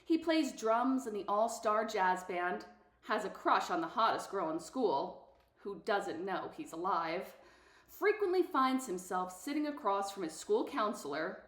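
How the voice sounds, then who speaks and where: A woman with a mid-pitched voice hosts a book read-aloud. She moves between chatty introduction and reading from the page. The room around her is clearly echoey. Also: a bit bright.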